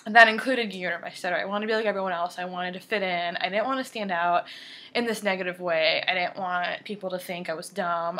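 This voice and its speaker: A woman's voice: lowish voice, bright, forceful tone. A woman of twenty-two talking candidly to camera, stuttering now and then and repeating or cutting off words, earnest and open. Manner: earnest and open, with fillers and brief laughs. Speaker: vlogger. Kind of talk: candid personal talk to camera.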